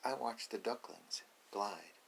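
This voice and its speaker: Male picture book reader for small children: slow pace, bright tone, playful explanatory asides, slight hiss behind. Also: fairly low pitch, creaky. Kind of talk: read-aloud to young children with casual asides.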